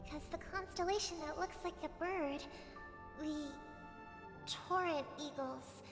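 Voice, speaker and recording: A woman playing a gentle, wistful young sister in a video game, soft, earnest and dreamy. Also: high voice, measured pace. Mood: wistful, tender. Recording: reverberant game voice under music.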